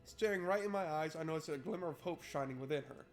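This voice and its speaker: A male gaming streamer with a slightly high voice reads story text aloud. He breaks off into wry, playful commentary in a casual way. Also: fast. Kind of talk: let's play, reading aloud and joking commentary.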